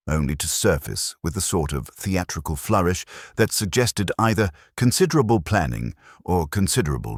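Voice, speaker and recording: Very deep, expressive male narrator. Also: no room sound, clean studio sound. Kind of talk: scripted mystery story narration.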